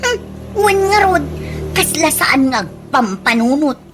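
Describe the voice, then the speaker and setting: A woman's voice: high-pitched, lively intonation, over loud music. A woman with an Ilocano Filipino accent voicing a boastful, mocking young daughter in a comedy radio drama. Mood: gossiping, scornful. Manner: mocking, giggly, drawn-out. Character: snobbish, boastful young daughter who mocks her cousin.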